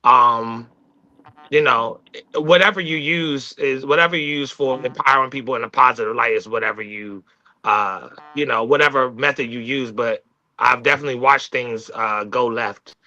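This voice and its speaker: A man in a casual live talk show discussion. His voice is very bright and forceful at a middling pitch, on slightly lo-fi audio. He speaks reflectively and haltingly, feeling his way through each point. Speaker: talk show team member.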